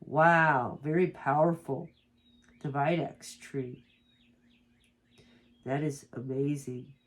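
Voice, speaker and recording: A woman with a stuffed-up nose from allergies, reading slowly and softly from a guidebook as an oracle card reader, with small stumbles and asides. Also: low-pitched, fairly even intonation. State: congested from allergies.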